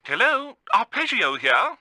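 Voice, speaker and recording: A fussy British bird genius, pompous and florid. The voice is piercingly bright and very animated, swooping around in a dry game recording. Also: male voice, extremely high pitch, measured pace, no echo, heavily acted. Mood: haughty, cheery.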